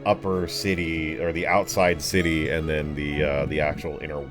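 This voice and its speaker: A man's voice: rumbling low, little pitch movement, normal speed. A man narrating a tabletop fantasy game as game master, improvising descriptions casually with slangy asides. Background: background music.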